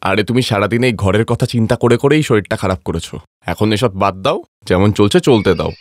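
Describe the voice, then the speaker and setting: A man's voice: lowish voice, fast talker, a little hiss or hum, lightly acted. A man voicing a practical, caring husband in a cartoon family story, reasoning in a matter-of-fact way. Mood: concerned. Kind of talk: Bengali moral-story cartoon voice acting.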